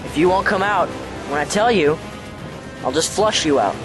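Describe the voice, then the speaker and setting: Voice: medium pitch, expressive, moderate pace, lightly acted. Possessed teenage boy, played by an actor, menacing and pleading by turns, audio drama, prominent music bed. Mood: menacing, taunting. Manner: swings between needy pleading and cold taunting. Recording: music under the voice.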